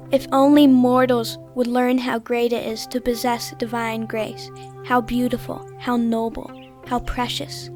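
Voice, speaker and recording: A devout, earnest girl of twelve, played by a woman, speaks in a high voice with music underneath. The delivery is that of a saintly child in a religious audio drama. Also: darkish tone, natural voice.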